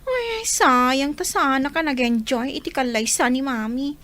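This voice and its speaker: A woman's voice: high-pitched, measured pace, a bit bright. A woman playing a sulky, aggrieved young granddaughter in an audio drama, with a plaintive manner.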